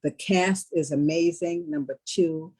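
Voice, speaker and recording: An older woman speaking as an interviewed actress in a casual panel conversation, laying out her points in a measured, affirming way. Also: low-pitched, darkish tone, breathy edge. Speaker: stage actress interviewed about a play.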